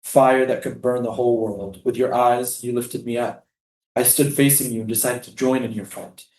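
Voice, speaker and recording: Male prisoner, played by a man at a stage reading: dreamy, poetic, defiant refugee, medium pitch, roomy echo. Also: steady tone, normal speed, a little mellow, lightly acted. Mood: intimate, dreamy.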